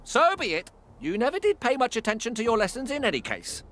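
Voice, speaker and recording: An actor playing a pedantic, excitable scholar of clan lore in a fantasy video game, theatrical and teasing. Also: male voice, very high voice, fast talker, slight background noise, full character voice. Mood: eager, animated. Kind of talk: video game cutscene acting.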